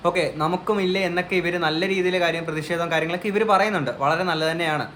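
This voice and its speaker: A man's voice: fairly high pitch, rapid-fire, bright, forceful tone, a little hiss or hum. A man giving earnest, emphatic opinion commentary as a vlogger on a news case.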